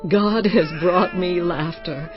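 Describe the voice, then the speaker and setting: Slow, emphatic elderly biblical mother. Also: lowish voice. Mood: joyful wonder. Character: Sarah, elderly new mother, proud and protective. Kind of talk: dramatized audio Bible acting. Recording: dull audio under loud music.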